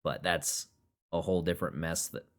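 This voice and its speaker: A man's voice: sits low, fairly even intonation, moderate pace, fairly bright tone. A young man hosting a podcast, chatting casually, joking and swearing freely as he rambles. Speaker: podcast host. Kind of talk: casual chat.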